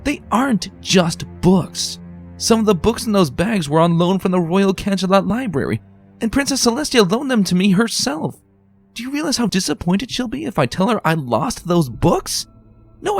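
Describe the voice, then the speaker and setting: A bookish young unicorn mare, earnest and a little anxious, done by a man in a raised voice. Her lines leap up high and swing widely as she insists. Soft music plays faintly under this fan storybook reading. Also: big pitch swings, normal speed, creaky, exaggerated voice. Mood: insistent. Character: bookish determined young female unicorn pony.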